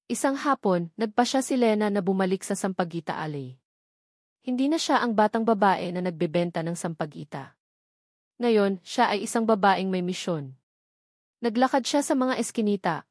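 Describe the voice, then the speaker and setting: A woman narrating a scripted sentimental story in an earnest, measured way. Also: mid-range voice, darkish tone, no room sound, clean studio sound.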